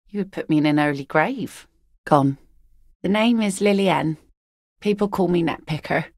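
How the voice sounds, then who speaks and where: A woman's voice: on the low side, gravelly, no room sound. An actress voicing a blunt, weathered fisherwoman who picks nets, speaking game voice lines in a dry, sardonic way. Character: tough weathered fisherwoman net picker, blunt. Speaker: game character.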